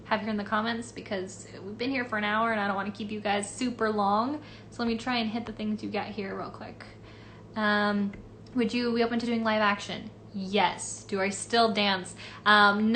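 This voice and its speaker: A woman's voice: mid-range voice, a bit bright, slight background noise. A young woman from Los Angeles, a voice actress casually answering fan questions on a live stream, reading comments aloud with lots of fillers.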